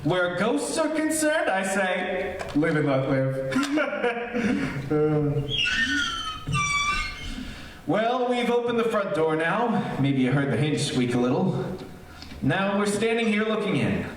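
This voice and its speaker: A man playing a jokey, skeptical broadcaster in an old-time radio drama, chatting in halting asides to his listeners. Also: high voice, big pitch swings, exaggerated voice. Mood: jokey, nervy. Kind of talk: 1940s radio acting, live on-location commentary. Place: echoey hall, stage-style radio play performance. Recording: distant, very echoey room with faint noise.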